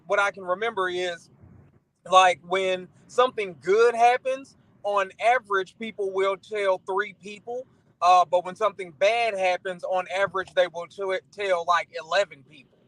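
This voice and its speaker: A man's voice: sits high, fairly bright tone, no room sound, poor audio. An American man speaking as a guest on a talk show, in casual motivational conversation.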